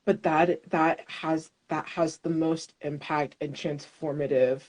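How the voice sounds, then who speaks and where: A woman with a very low-pitched voice talks as a guest, working through her thoughts with frequent "like" and "you know". Her delivery is searching and reflective, heard over a remote call mic. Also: fairly even intonation, slow, darkish tone. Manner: searching, many fillers and restarts, thoughtful. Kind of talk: reflective conversation.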